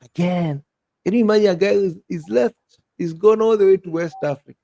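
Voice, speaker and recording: A man's voice: high-pitched, a little fast, gravelly, dry recording. A Kenyan man in his thirties chatting on a podcast, telling stories with energy and calling his friend "bro". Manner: animated storyteller, peppers talk with "bro". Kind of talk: casual chat.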